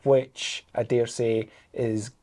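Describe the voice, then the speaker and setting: Mellow-voiced Scottish man. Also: medium pitch, flat delivery, slow pace. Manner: easygoing, laughs often, hesitant fillers. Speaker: co-host. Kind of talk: casual chat.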